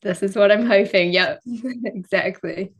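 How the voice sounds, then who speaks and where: A young woman talks casually as a podcast guest. She sounds relaxed and modest and often pauses with "um" and "like". Her voice sits at an ordinary middle pitch. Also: husky, highs cut off. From New Zealand. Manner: relaxed, modest, frequent ums and likes. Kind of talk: casual interview chat.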